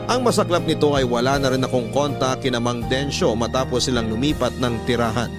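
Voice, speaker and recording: A man's voice: medium pitch, a little fast, loud music behind the voice. A man reading a scripted first-person story as a radio storyteller.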